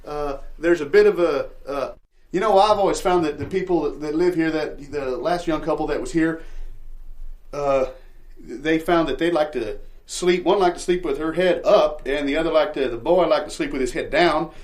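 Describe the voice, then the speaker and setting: A man acts out a rambling, folksy landlord in improvised audio theatre. He pitches a shabby rental with halting salesmanship, hedging and losing the end of his sentences as he goes. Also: close to an everyday voice. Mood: rambling, evasive.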